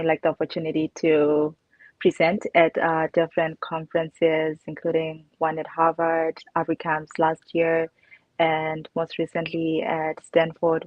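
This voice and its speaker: A woman's voice: deep voice, dry recording. A woman in her twenties with a Zimbabwean accent, chatting casually as a student guest on a video call. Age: 20s. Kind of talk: casual interview on a livestream. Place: indoor room, video call with earbuds. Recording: muffled video call, dry.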